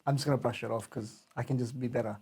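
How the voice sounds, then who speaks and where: A man's voice: mid-range voice, fairly even intonation, rapid-fire, a little mellow, breathy edge. A young man, co-hosting a casual podcast, earnestly pressing the others about identity. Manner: earnest, probing questioner. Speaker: podcast co-host.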